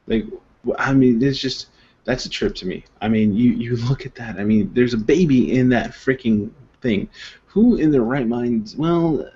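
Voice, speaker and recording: A man's voice: medium pitch, normal speed, warm tone, breathy edge. A man co-hosting a casual web show, joking and rambling with lots of fillers, heard over a video call. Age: adult father of two. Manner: rambling, playful, filler-heavy. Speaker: co-host. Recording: muffled video-call audio.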